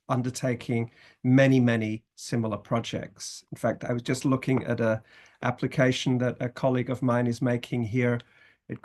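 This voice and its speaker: A middle-aged British man, a law professor, presenting a university programme in measured phrases with some fillers. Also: medium pitch. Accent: British English. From Britain, family from Scotland, raised in England.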